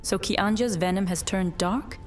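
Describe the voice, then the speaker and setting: A woman's voice: mid-range voice, a little slow, natural voice. A woman playing an ancient witch in a fantasy audio drama, speaking in an earnest, portentous and formal way. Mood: earnest, pleading. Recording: music under the voice.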